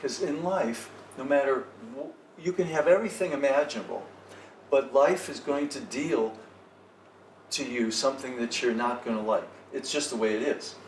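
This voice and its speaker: A man's voice: medium pitch, normal speed. A man hosting a casual, reflective group conversation in a thoughtful, hesitant way. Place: cafe tea house. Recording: echoey room, slightly noisy, distant mic.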